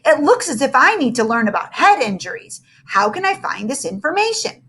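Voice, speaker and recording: An exclamatory little old man of a storybook puppet show, put on by a woman reader. His voice is high and bouncy, jumping about in pitch. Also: very animated, brisk, fairly bright tone, husky, heavily acted. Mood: rueful.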